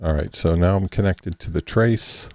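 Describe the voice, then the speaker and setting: Male host, low gravelly voice, unhurried and halting, casual live-stream walkthrough, dry close mic. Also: dry recording. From Texas. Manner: halting, thinks aloud with many restarts and fillers.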